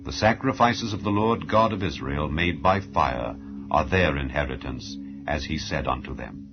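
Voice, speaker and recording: A man narrating the Bible as a solemn scripted reading, with measured, formal, declamatory phrasing. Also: rumbling low. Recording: dull lo-fi audio under music. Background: background music.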